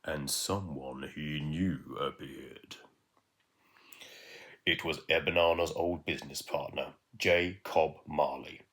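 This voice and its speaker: Deep voiced guy reading a bedtime story, expressive, takes his time w dramatic pauses. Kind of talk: storybook read-aloud.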